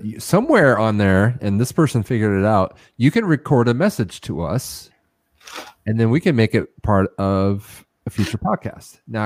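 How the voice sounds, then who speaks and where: A man's voice: on the low side, a little mellow, dry recording, clean studio sound. A middle-aged man co-hosting a casual podcast, bantering in a wry, self-mocking way. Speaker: podcast co-host. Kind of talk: casual chat.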